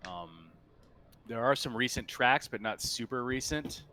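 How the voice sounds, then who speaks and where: A man acting as game master, improvising halting scene descriptions for a tabletop roleplaying game. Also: medium pitch, animated, bright, forceful tone.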